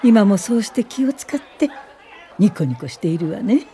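Actress as kindly older woman professor for radio drama: mellow, polite, unhurried, encouraging, with background ambience and some noise. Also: medium pitch, big pitch swings, a faint crowd, natural voice. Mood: gentle, reassuring. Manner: soft, polite, unhurried, old-fashioned feminine Japanese. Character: gentle older woman professor of social work, warm and encouraging. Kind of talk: radio drama acting and reminiscing narration.

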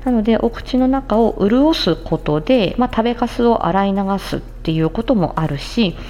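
A woman's voice: mid-range voice, lively intonation, normal speed, dark tone. A woman giving an explanatory health talk in plain, conversational words, like a podcast host addressing listeners. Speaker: podcast host, speech-language therapist.

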